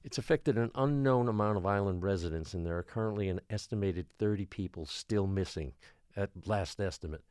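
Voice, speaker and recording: A man playing an exasperated, forceful small-town mayor in an audio drama, speaking bluntly and officially. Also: fairly low pitch, fairly quick, clear, resonant tone, dry recording, studio quality, close to an everyday voice. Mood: grave, official. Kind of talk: dramatic podcast acting.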